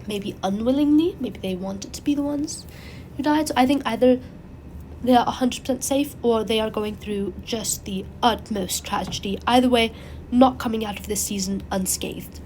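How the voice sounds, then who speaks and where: Woman, raspy, congested from a cold, casual rambling host, faint background hiss. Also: fairly high pitch, normal speed, low-quality recording. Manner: rambling, animated, frequent fillers and restarts. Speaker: channel host. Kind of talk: casual chatty commentary.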